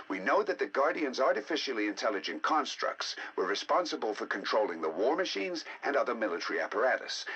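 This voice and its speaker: An actor playing a learned, eager researcher, narrating game audio logs in a measured, articulate, intrigued manner. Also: male voice, mid-range voice, pushed and bright, breathy edge, full character voice, poor audio. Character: learned male researcher, eager and fascinated by alien ruins.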